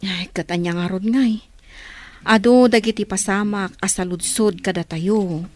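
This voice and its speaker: A woman's voice: mid-range voice, fairly even intonation, a little slow, a little mellow, lightly acted. A woman playing a caring, devout middle-aged aunt in an Ilocano radio drama. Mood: reassuring, devout.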